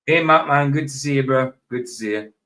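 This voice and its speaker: A man's voice: mid-range voice, a little fast, bright, forceful tone, slight background noise. A middle-aged Scottish man chatting on a live stream, repeating himself and drifting into tangents as he talks over a video. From Scotland.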